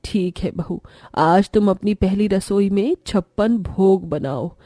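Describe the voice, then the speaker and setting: Here is a wealthy mother-in-law from a cartoon family story. She speaks in a mellow, slightly lowered voice, giving orders with plain authority. The sound is dry. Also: slow pace, no room sound. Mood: commanding. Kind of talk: Hindi cartoon story voice acting.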